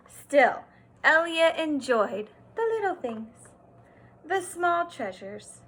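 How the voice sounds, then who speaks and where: A woman kindergarten teacher reads aloud to young children in a bright voice. She goes very slowly, leaning on key words and stopping to explain them or ask a simple question. Her pitch sits a little high, and her delivery is expressive.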